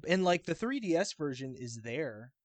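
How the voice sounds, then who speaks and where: A man's voice: a little high, sing-song, normal speed, no echo. A man on a podcast panel, talking informally in a loose, rambling way. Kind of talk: casual chat.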